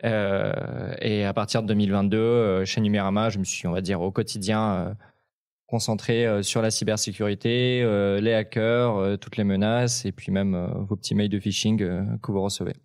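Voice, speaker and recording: A man's voice: on the low side, steady tone, normal speed, a little mellow. A young man of Ukrainian origin, a tech journalist on a talk show panel, speaking hesitantly with many fillers. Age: young adult.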